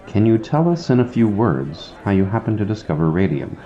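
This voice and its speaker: A man's voice: on the low side, big pitch swings, fairly quick, mellow. A man playing a pushy, eager newspaper reporter in an old time radio drama, on a dull lo-fi recording. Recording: dull lo-fi sound.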